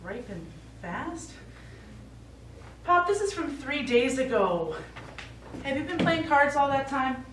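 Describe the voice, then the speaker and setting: A woman's voice: high-pitched, sing-song, slow, long pauses, full character voice. Woman acting a concerned daughter, echoey stage. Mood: concerned, coaxing. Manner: coaxing, mildly exasperated. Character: concerned, practical middle-aged daughter of elderly father. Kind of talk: live stage play acting. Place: small indoor theatre stage, recorded from afar. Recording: echoey theatre, distant mic, faint noise.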